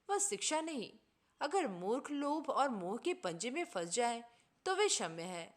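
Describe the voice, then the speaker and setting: A woman's voice: a little high, a bit bright. A woman voicing an indignant, idealistic man in a storybook reading, speaking in an earnest, formal, oratorical way. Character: idealistic, indignant man preaching justice and selfless service.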